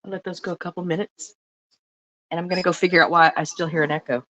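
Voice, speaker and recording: A woman hosting a cooking livestream from her home kitchen, chatting to viewers in a rambling way while she works, her voice a little lower than usual from a cough. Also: fairly even intonation, fast talker, fairly bright tone, rough recording. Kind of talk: casual livestream chat while baking. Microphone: phone mic.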